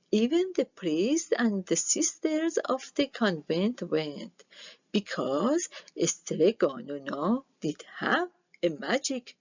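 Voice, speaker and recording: A woman reading a children's story aloud with expressive delivery, on a dull lo-fi recording. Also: mid-range voice, slightly rough, no echo. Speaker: storybook reader.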